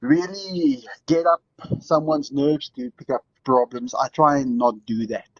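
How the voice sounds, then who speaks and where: A man's voice: medium pitch, wildly swooping pitch, measured pace, creaky, dry recording, highs cut off. A man from South Africa with a South African accent, calling into a show and rambling with restarts and laughs. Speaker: caller. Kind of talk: casual call-in chat.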